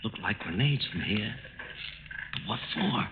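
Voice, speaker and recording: A man's voice: fairly low pitch, animated, measured pace. An actor playing a nervous, plain-spoken man helping on a stakeout in a 1940s radio drama. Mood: jittery, curious. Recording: dull, hissy old radio recording.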